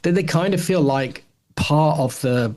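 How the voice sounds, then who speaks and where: A man's voice: fairly high pitch, a little slow, a little mellow. Rambling middle-aged man, casual podcast chat. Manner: rambling, musing, hedging. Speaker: podcast co-host.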